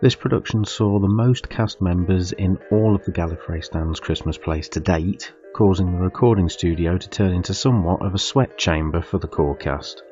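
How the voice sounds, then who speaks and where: Deep-voiced man, dull lo-fi recording. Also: moderate pace, hardly any pauses, dark tone, faint music behind. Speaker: artist and cast member. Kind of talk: scripted behind-the-scenes narration. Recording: dull lo-fi microphone.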